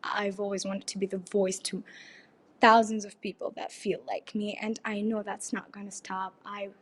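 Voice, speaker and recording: A teenage girl leaving an earnest, halting voicemail about her personal path, with soft pauses. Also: mid-range voice, little pitch movement, husky. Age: teens. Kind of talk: personal testimony.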